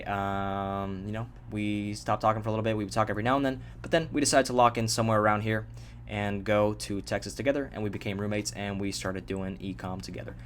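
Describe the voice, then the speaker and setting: A man's voice: lowish voice, slight background noise. A young man from Japan telling his own story in a loose, rambling vlog, with plenty of fillers and the odd swear. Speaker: vlogger.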